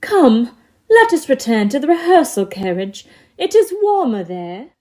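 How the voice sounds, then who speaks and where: A woman playing a gentle young member of an opera troupe in an audio drama. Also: high-pitched, very animated, measured pace. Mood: soothing.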